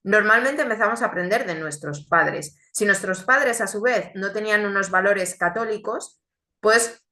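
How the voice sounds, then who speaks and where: A woman, born in Chile, giving an earnest, reflective religious testimony with deliberate pauses. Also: mid-range voice, bright, forceful tone, roomy sound. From Chile, raised in Spain.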